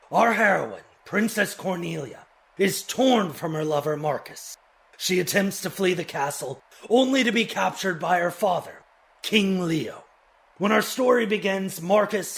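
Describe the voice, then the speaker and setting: A man's voice: high-pitched, creaky, full character voice. An actor playing a theatrical troupe leader in a game fandub, declaiming grandly as announcer and haughty stage king.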